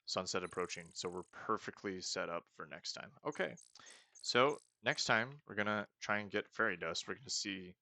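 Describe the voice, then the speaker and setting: Deep gravelly man narrating gameplay. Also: expressive, normal speed, pushed and bright, no room sound. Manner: chatty, self-mocking, thinking aloud. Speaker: let's play host. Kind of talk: casual gameplay commentary.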